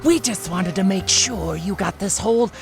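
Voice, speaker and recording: An actor playing a menacing noir thug in an audio drama, mock-friendly and threatening. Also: male voice, high voice, normal speed, gravelly. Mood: sly menace. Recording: music behind the voice.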